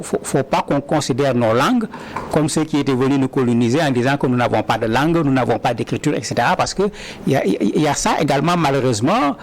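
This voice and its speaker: A man answering interview questions earnestly, often repeating words as he starts a sentence. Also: medium pitch. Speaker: interview guest.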